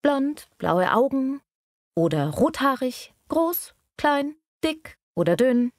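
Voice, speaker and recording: An actress plays an encouraging, curious female friend in a language-lesson dialogue. Her intonation moves up and down freely and she articulates clearly. The sound is close and dry. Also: high voice, sing-song, takes it slowly, no echo, exaggerated voice. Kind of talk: scripted language-learning dialogue, clearly enunciated.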